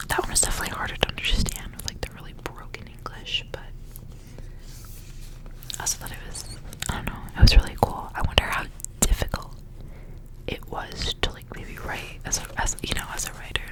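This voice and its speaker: A woman whispering softly in a breathy, rambling ASMR style, as a host reading and chatting casually. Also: a little fast, low-quality recording. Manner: soft, rambling, repeats words.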